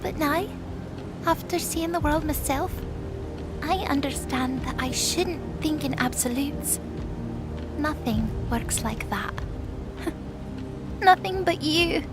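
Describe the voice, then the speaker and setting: A woman's voice: sits high, takes it slowly, long pauses, loud music behind the voice. A woman playing an earnest, stubborn winged young girl in an audio drama, impassioned, naive and argumentative. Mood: tearful, resolute. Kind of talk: audio drama character acting.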